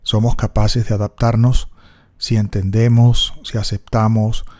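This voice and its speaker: Colombian man, middle-aged, low warm voice, unhurried reflective storyteller. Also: level tone. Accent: Colombian Spanish. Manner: thoughtful, unhurried storytelling with pauses. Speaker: speaker recording a personal reflection. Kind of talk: reflective spoken monologue.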